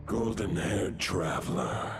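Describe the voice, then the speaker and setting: An ancient wolf spirit from a fantasy game, grave and solemn. The voice is very low and gravelly, with archaic formal phrasing delivered slowly over music. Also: male voice, animated, heavily acted. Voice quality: deep, gravelly, breathy growl. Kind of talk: video game voice acting. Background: background music.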